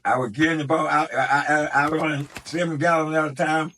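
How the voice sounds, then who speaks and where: A man's voice: a little high, fairly even intonation, brisk, pushed and bright, a little hiss or hum. A man, telling stories to his grandson in a rambling way, repeating words and restarting his sentences. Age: 90s. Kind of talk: casual storytelling.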